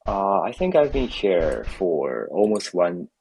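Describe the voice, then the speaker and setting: Deep gravelly Japanese man, hesitant. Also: sing-song, takes it slowly, a little mellow, a little hiss or hum, rough recording. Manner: polite, hesitant, thinks aloud while answering. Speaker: English student. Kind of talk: one-on-one online lesson.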